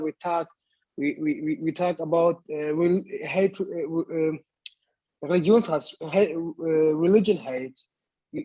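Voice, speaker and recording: A man in his twenties from Lebanon talks through his thoughts in a panel conversation, slowly and haltingly, restarting words as he goes. His voice sits a little high, and it is recorded dry and close. His English bends grammar the way a non-native speaker's does. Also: a little mellow, no room sound, low-quality recording. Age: 20s. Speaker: actor.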